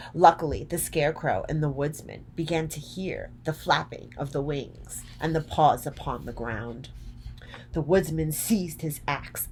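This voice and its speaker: A woman narrating a children's story from a script, deliberately, phrase by phrase. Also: low voice, slight background noise.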